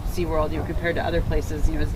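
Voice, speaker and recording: A woman's voice: deep voice, flat delivery, rapid-fire. A woman chatting casually as a co-interviewer in a conversation. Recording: loud wind and boat noise.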